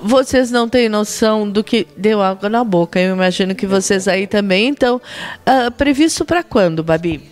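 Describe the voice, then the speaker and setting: A middle-aged woman with a medium-pitched voice hosts a TV interview, holding a handheld mic on a studio kitchen set. She asks questions in a lively, enthusiastic way. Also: normal speed. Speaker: TV host. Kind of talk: TV magazine interview.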